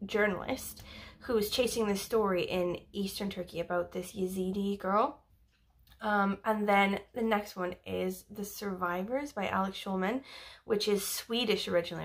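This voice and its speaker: Young woman, from wales, gravelly fry, rambling casual book chat, filler-heavy. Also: medium pitch. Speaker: book vlogger.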